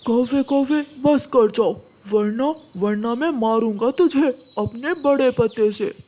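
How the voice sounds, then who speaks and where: A man's voice: very high-pitched, takes it slowly, dark tone. A man voicing a talking tree in a cartoon, earnest and imploring. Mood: scolding. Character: gentle, pleading talking tree.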